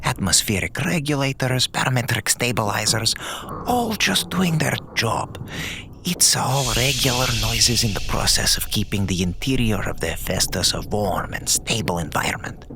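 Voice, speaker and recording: Station doctor, male: creaky, swooping pitch, clipped and precise, uneasy, sci-fi audio drama. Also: animated, noisy background. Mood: falsely calm. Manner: deliberate, clipped, technical. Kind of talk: science fiction audio drama acting.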